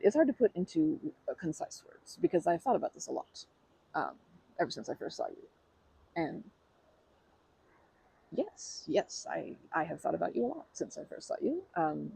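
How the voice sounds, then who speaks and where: A woman's voice: a little high, vocal fry, breathy edge, no room sound, low-quality recording. A woman in an ASMR roleplay who talks to the listener in a rambling, bashful and playful way, full of fillers and restarts. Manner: playful and earnest, laughing softly.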